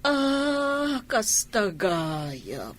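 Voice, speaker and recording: A woman's voice: on the high side, very animated, very slow, heavily acted. A woman with an Ilocano accent playing a meddling, money-minded older mother-in-law in a radio comedy drama. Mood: catty, delighted.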